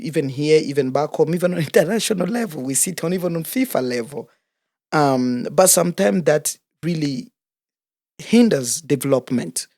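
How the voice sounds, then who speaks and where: A man's voice: medium pitch, darkish tone, dry recording, clean studio sound. A man in his thirties, originally from Congo, speaking as a soccer coach and organizer in a casual interview, telling his story earnestly and without hurry. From Democratic Republic of the Congo. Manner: earnest, unhurried storytelling with fillers.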